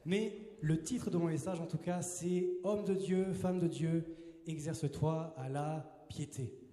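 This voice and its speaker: A young man in his twenties preaches to a youth crowd, chatty and playful, often tossing questions back to the audience. His voice sits a bit high and sounds mellow. The hall is big and roomy, with a long reverb trailing each phrase. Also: fairly even intonation, measured pace. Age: 20s. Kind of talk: casual joking sermon to a youth crowd. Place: large hall with a live audience. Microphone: handheld mic.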